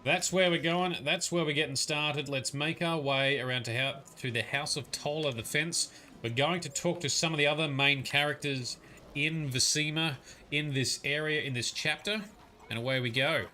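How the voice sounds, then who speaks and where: A man's voice: fairly high pitch, fairly even intonation, normal speed, pushed and bright. A young man casually narrating a game on a livestream, chatting with viewers and answering their comments. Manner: chatty, reads and answers chat comments, frequent "um"s.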